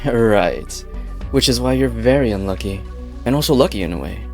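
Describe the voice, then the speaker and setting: A man playing a smooth-talking, scheming corporate businessman with a theatrical, coaxing manner in an amateur game dub. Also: medium pitch, animated, normal speed. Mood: persuasive. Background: background music.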